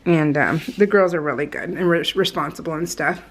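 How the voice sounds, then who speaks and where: A woman's voice: sits low, a little fast. A woman craft vlogger chatting casually in a giggly, meandering way as she goes through her scrapbook pages. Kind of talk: casual rambling chat over scrapbook pages.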